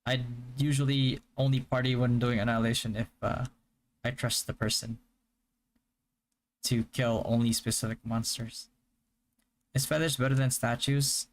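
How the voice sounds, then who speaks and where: A young man from the Philippines whose first language is Hiligaynon, chatting casually on a live stream and answering viewer questions in a relaxed, stop-start way. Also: medium pitch, moderate pace, long pauses, slight background noise. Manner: relaxed, stop-start, with frequent fillers and restarts. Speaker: game streamer.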